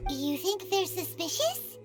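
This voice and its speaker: A woman's voice: measured pace, full character voice. A woman voicing a tiny, curious female fairy companion who talks about herself in third person, in a game with music under her lines. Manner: high-pitched, excitable, speaks of herself in third person. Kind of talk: game voice acting.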